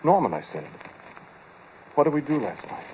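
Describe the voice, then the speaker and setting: An American actor playing a shaken, brooding inventor who tells his story hesitantly and deliberately in 1940s radio drama style. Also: on the high side, sing-song. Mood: brooding, uneasy. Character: shaken, brooding male inventor recounting his time-machine experiment. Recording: muffled, noisy 1940s radio recording.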